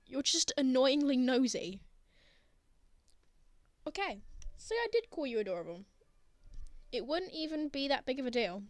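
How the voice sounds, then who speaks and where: Woman playing a high-voiced, flustered young man. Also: no echo. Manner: halting, defensive, sardonic. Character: flustered, prickly, lovestruck young man confessing to friend. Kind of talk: intimate ASMR roleplay acting.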